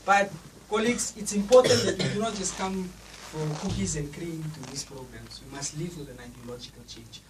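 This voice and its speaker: Loud young man giving a rousing speech. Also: fairly high pitch, moderate pace. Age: young adult. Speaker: student association speaker. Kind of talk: public speech at an event. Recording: distant mic, faint noise.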